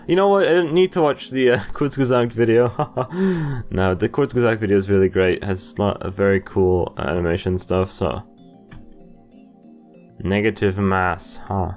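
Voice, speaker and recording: A young adult man with a fairly low voice plays a game and talks over it casually. He reads the text aloud and is easily amused as he comments. Game music plays behind him. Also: moderate pace, darkish tone. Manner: easily amused, chuckles often. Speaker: gamer recording a playthrough.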